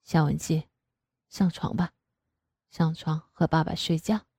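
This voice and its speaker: An indulgent, coaxing middle-aged father speaks in a lowered, husky voice with a dark, mellow tone. A woman acts him out in a storybook reading, recorded close and dry. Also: steady tone, measured pace, no room sound, heavily acted.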